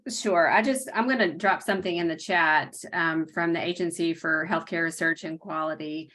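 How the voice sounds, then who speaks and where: A woman's voice: fairly low pitch, normal speed, fairly bright tone. A woman on a webinar panel, explaining health literacy work in a practical, explanatory way over a video call. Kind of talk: panel discussion.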